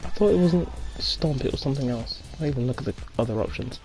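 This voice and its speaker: A man's voice: medium pitch, expressive, fast talker, a little mellow, faint music behind, low-quality recording. A man giving casual, rambling commentary as he plays a game, wandering off into chatty asides and reading the game's dialogue aloud. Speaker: let's play host.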